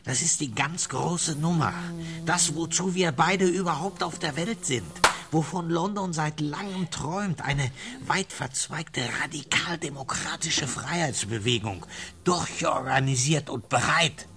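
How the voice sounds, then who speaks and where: A man's voice: a little high, measured pace, a crowd in the background. An actor playing a pushy, impatient spy handler in a German radio play, curt and demanding as he interrogates. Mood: urgent, persuasive.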